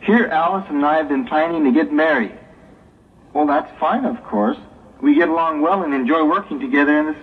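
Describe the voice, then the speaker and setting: A man's voice: a little high, brisk, husky. A man playing an earnest, engaged young man soberly weighing his plans in a 1960s religious audio drama. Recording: muffled, hissy 1960s tape, phone-like.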